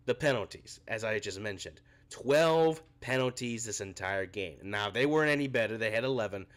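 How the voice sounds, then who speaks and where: A man's voice: medium pitch, fairly bright tone. A young man with a cold hosts a postgame reaction show, his voice a bit scratchy. He rants in frustration and his voice climbs when he gets worked up. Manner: frustrated ranting, rising when angry. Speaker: podcast host.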